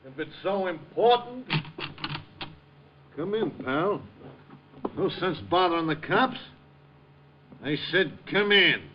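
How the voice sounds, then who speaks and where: A man's voice: high-pitched, animated, takes it slowly, long pauses. A man playing a menacing, sneering escaped-convict gangster in a 1950s radio crime drama, with commanding tough-guy talk. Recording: dull, noisy old 1950 radio recording.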